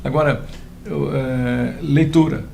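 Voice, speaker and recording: A man's voice: mid-range voice, steady tone, slow pace, dark tone. A man hosting a casual interview, asking short questions and murmuring as he listens. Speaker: interview host. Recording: clear background noise.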